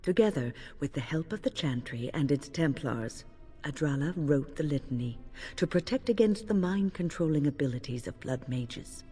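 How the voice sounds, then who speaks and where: An actress playing a composed, wise older female mage in a video game, speaking in a measured, earnest, instructive way. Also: deep voice, lively intonation, warm tone, husky. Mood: explanatory. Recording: long hall reverb, music behind.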